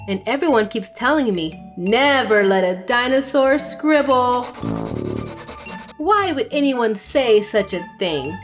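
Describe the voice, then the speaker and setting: Animated woman reading a children's story. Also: on the high side. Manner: expressive, sing-song. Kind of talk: read-aloud storytelling for children.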